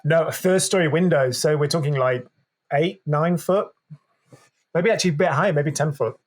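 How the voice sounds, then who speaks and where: Husky man, candid and reflective. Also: fairly high pitch, moderate pace. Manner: open, reflective, with frequent restarts and throat clearing. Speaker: podcast guest. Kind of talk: candid conversational interview.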